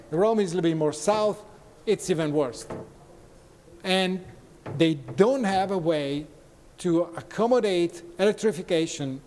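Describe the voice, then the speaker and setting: A man from Italy with an Italian accent, giving a conference talk in non-native phrasing with frequent pauses and fillers. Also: sits high, darkish tone, in a large hall, a little hiss or hum. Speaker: conference speaker. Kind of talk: conference presentation. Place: conference hall.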